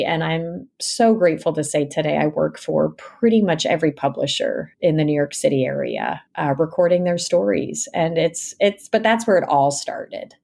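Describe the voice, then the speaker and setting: Woman, lowish pitch, interview guest, actress storyteller, dramatic delivery, acts out dialogue. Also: vocal fry, a little mellow. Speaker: guest, actress and audiobook narrator. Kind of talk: animated personal storytelling in an interview.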